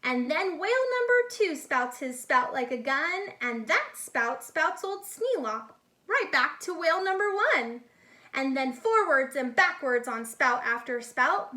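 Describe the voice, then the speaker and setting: A woman reading a picture book aloud expressively in an animated, deliberate way, with explanatory asides for young listeners. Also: high-pitched. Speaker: children's read-aloud storyteller, teacher-like.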